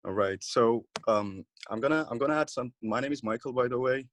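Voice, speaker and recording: A young man from Ethiopia in a casual group discussion, talking haltingly with many fillers and restarts. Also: medium pitch, slight background noise. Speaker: participant.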